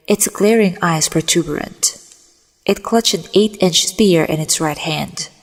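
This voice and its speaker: A woman voice actor giving a dramatic reading of a short story, with long reverb around her voice. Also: sits low. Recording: long reverberant hall echo.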